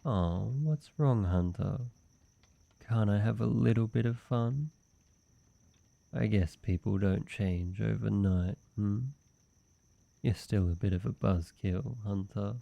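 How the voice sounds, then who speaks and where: A man playing a slow, creaky-voiced teasing vampire. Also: fairly low pitch, no echo, highs cut off. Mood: playful teasing. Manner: playful mock-menacing, teasing, drawn-out delivery. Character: teasing, ancient male vampire, courteous and flirtatious. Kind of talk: scripted roleplay audio drama.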